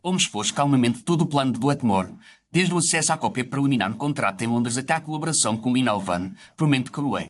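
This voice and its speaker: An actor playing a reflective gentlemanly doctor who narrates his memoirs in formal, literary first-person storytelling. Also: medium pitch, animated, quick pace, a little hiss or hum, lightly acted.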